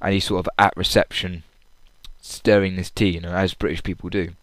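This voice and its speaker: A man's voice: deep voice, flat delivery, a little fast, no room sound. A man telling an anecdote in a casual, rambling solo audio log. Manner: rambling, acts out quoted lines loudly. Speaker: audience member recapping a comedy show.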